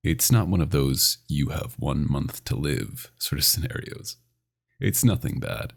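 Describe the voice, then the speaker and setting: A man playing a dominant, possessive vampire doctor in an ASMR roleplay, intimate and teasing with a smooth menace. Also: animated, unhurried, heavily acted. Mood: soft reassurance. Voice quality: very deep, gravelly.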